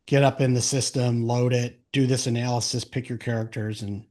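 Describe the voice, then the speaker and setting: A man's voice: mid-range voice, fairly even intonation, a little fast, dark tone. A man hosting an interview podcast in a casual chat style, thinking aloud in loose, rambling sentences.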